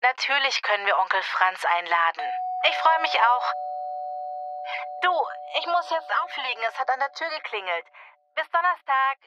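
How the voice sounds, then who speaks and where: A woman's voice: high-pitched, expressive, normal speed, lo-fi sound, background noise. A woman playing a mildly impatient but affectionate grown daughter in an acted language-learning dialogue. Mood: cheerful.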